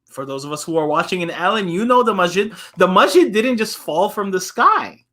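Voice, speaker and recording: A man's voice: high voice, animated, brisk, pushed and bright. A man co-hosting a children's story time, talking informally and often checking in with his listeners. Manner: informal, checks in with frequent tags like right and okay. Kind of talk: casual talk to children and families.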